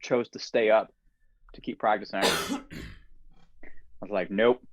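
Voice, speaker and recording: A man hosting a casual podcast conversation, telling relaxed stories with frequent laughs. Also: on the low side, a little slow, dry recording. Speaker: podcast host.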